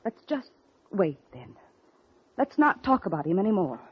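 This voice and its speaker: A woman's voice: mid-range voice, very animated, moderate pace, husky. An actress playing an anguished young woman in a 1940s radio drama, with emotional, dramatic delivery. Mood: distressed, weary. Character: anguished young woman waiting for her missing foster brother. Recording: dull vintage radio recording.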